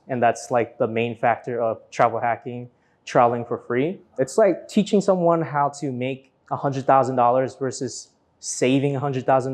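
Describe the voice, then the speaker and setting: A man from Vietnam, raised partly in Florida, answers as an interview guest. His voice sits at a medium pitch. He talks casually and lays out his explanations one step at a time. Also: darkish tone. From born in Vietnam, grew up in Florida from age nine. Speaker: interview guest, business owner.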